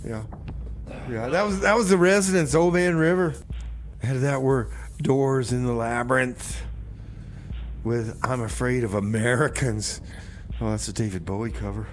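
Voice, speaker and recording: An offhand man hosting community radio at a middling pitch. He banters dryly and reels off songs casually, with faint noise behind him. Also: moderate pace. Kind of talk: casual on-air banter and song announcing.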